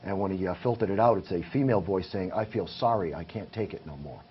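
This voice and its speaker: Low-voiced man telling ghost stories. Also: fast talker. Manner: earnest, detailed storytelling. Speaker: paranormal investigator guest. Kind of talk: casual interview talk. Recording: muffled, with faint hiss.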